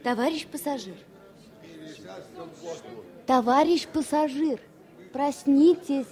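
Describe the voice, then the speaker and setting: An actress playing an earnest, composed but tender young airline stewardess in a Soviet radio drama. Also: female voice, high-pitched, slow, darkish tone, a faint crowd. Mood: polite, insistent. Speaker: actor in radio play. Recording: noisy old radio play recording.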